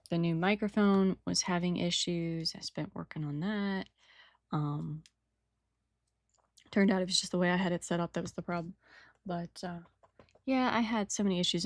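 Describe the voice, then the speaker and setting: A woman with a raspy morning voice chatting casually and rambling while she paints a model at her desk, on a new microphone without filters. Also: fairly low pitch, dry recording, clean studio sound. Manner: rambling, apologetic, trails off mid-sentence. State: early-morning raspiness. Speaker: hobby painter and channel host. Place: home desk setup.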